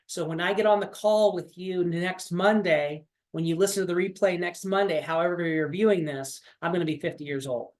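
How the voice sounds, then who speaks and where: A man's voice: high-pitched, brisk, hardly any pauses. A man in his late forties leading a weekly motivational sales-coaching call, talking in a repetitive, encouraging way with frequent fillers. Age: 40s. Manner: coaching, repetitive, pep-talk with many fillers.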